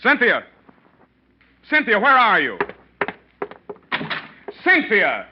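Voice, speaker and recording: A peevish, methodical husband speaks in a high voice with animated swings. It is exacting 1940s radio acting on a dull, vintage recording. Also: male voice, drawn-out words, slight background noise, full character voice. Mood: impatient. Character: fussy, irritable, methodical newspaper columnist husband.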